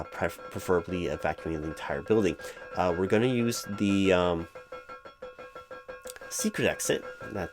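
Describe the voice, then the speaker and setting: A man acting out a flustered, officious hiring manager in a comedic one-sided audio roleplay, halting and stammering as he speaks. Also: deep voice. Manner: halting, stammering, fussy and bureaucratic. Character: flustered, exasperated, officious male hiring manager, distracted, apologetic.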